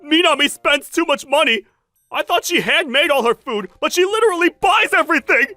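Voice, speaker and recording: An arrogant young husband in a manga dub, acted by a woman, full of scorn and whining. The voice is bright and forceful and swings widely in pitch. It sounds close and dry, with music underneath. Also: sing-song, normal speed, dry recording, exaggerated voice. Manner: scornful, whiny, exaggerated. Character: arrogant, whiny, cheating young husband obsessed with fancy food.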